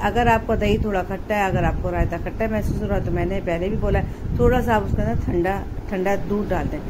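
A woman's voice: mid-range voice, very noisy. A woman narrating a home cooking tutorial in a casual, chatty, explanatory way. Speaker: home cook, cooking channel host.

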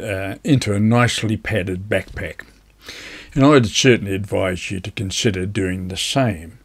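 A man speaks in a fairly low voice. He presents practical advice informally, in a measured, explanatory way, as if talking straight to the viewer. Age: older, around 70s. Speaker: amateur radio operator, video presenter. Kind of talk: informal instructional talk to camera. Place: indoors, seated before a virtual beach backdrop.

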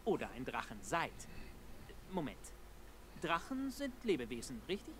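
A man's voice: on the high side, huge pitch swings, moderate pace, noisy background. An actor voicing a chatty male festival visitor in a video game, musing aloud and talking himself into a question.

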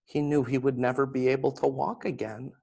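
A middle-aged man, a priest, reading a children's Bible story aloud in an expressive, deliberate way at a desk in a small room. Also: medium pitch, creaky, breathy edge.

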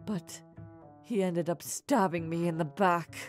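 A woman's voice: lowish voice, steady tone, unhurried, lightly acted. A woman voicing a remorseful mad scientist mother in a dramatic comic dub, speaking formally and theatrically. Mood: grave, explaining. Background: faint music.

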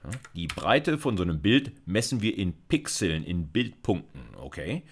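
A man's voice: low voice, big pitch swings, moderate pace. A man, a university lecturer thinking aloud informally as he explains live coding. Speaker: university computer science lecturer. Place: indoors, seated at a computer.

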